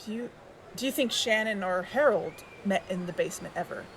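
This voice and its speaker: A an actress as a quiet, guarded woman with a mid-pitched voice. She speaks tentatively and probingly in a natural audio-drama style, over faint ambient noise. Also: a little fast, natural voice. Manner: tentative, questioning. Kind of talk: naturalistic audio fiction acting.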